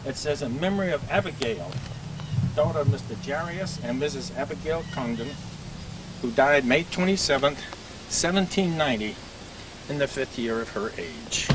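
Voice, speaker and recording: An older man explains gravestones to a tour group outdoors, chatty and unhurried, stopping often on "uh" and starting over. His voice sits at an ordinary pitch and comes through a camcorder from a few feet away. Steady traffic noise runs behind him. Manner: chatty, explanatory, with frequent uh fillers and restarts. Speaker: cemetery tour guide. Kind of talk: outdoor guided walking tour talk. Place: outdoor cemetery among trees. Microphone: camcorder mic from a few feet away.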